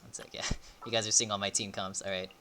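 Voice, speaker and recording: A man livestreaming a game with casual, rambling, excitable commentary, thinking aloud as he plays. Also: fairly low pitch, fast, slight background noise.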